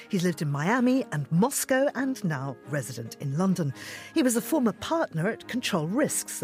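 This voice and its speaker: A woman's voice: on the low side, darkish tone. A woman hosting a radio interview about books in an effusive manner. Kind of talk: scripted introduction and conversational book interview.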